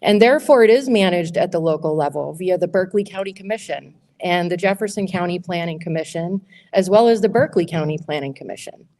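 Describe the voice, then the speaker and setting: A woman, a state senate candidate, gives a prepared speech at a town hall in a school auditorium, loud and methodical as she works through her questions. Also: lowish voice, quick pace.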